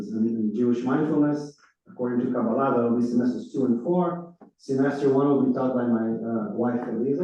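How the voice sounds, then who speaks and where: A man's voice: medium pitch, mellow. A male rabbi whose first language is Hebrew, giving a chatty, informal run-through of upcoming classes to his congregation. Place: large synagogue room. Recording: echoey hall, far off, dull.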